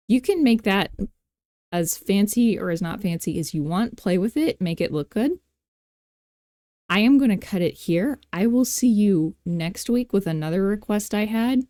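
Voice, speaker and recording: A woman hosting a software tutorial, thinking aloud in an informal, playful, self-deprecating way into a close mic. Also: medium pitch, no echo. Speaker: tutorial host.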